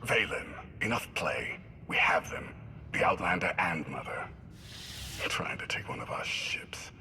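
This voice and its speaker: An actor playing a grim, cold ruler in a video game, speaking slowly and formally with menacing certainty. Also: male voice, animated, pushed and bright, faint music behind, full character voice. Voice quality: very deep, gravelly. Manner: slow, measured, formal. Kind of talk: dramatic video game acting.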